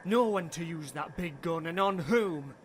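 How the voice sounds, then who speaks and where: A man's voice: a little high, measured pace, a little hiss or hum. A man playing a cold, sardonic commander in his late twenties, curt and direct, in an audio drama. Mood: scornful. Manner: calm, direct, curt, disdainful. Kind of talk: radio-play acting.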